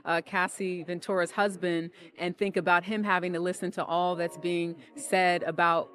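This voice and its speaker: A woman's voice: lowish voice, little pitch movement, moderate pace, a bit bright. A woman giving an unscripted report as a court correspondent in a news interview.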